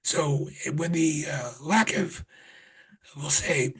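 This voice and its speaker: A man chairing a town board meeting in a conference room, speaking earnestly with restarts, his voice getting raspy. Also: on the high side, animated, takes it slowly. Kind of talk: public meeting remarks.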